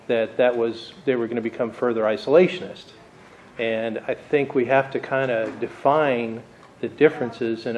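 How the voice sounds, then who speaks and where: A man's voice: medium pitch, a little mellow, lo-fi sound, slight background noise. A man asking a question from the audience, earnest and a little hesitant.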